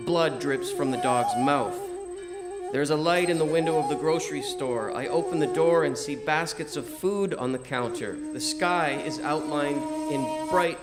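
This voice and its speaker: A man reading a first-person story as narrator in a measured, theatrical, declamatory manner. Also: on the high side. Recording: music behind the voice. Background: music.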